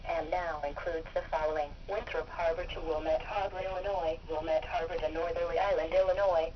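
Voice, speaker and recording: A formal, measured announcer reading a scripted weather watch over a weather radio. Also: medium pitch, recorded from a distance. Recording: muffled noisy weather radio, picked up from afar.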